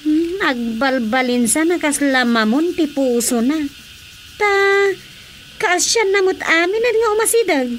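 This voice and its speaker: A woman's voice: high voice, expressive, measured pace, a little hiss or hum. An actress playing a chatty, sympathetic younger married woman with a Filipino accent in a radio drama comedy. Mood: concerned.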